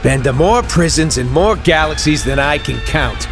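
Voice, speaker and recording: An actor playing a cocky, wisecracking space outlaw in a superhero video game, talking in informal slang. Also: male voice, on the high side, lively intonation, normal speed. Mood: smug. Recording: music under the voice.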